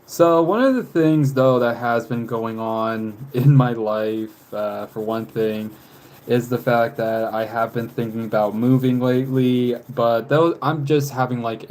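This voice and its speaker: A man in his twenties chats casually on a live stream, taking his time with each thought. His words come out slowly and wander from point to point, broken up by easy little laughs. His voice sits at an ordinary middle pitch. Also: a little mellow. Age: 20s. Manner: rambling, laughs often, reads chat messages aloud. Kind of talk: casual chat while drawing on a live stream.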